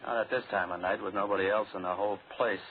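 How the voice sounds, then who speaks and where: A man's voice: fairly low pitch, steady tone, fast, lightly acted. An actor playing a nervy, irritable radio man in an old-time radio drama, wary and exclamatory. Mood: uneasy, annoyed. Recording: tinny, noisy old radio broadcast recording.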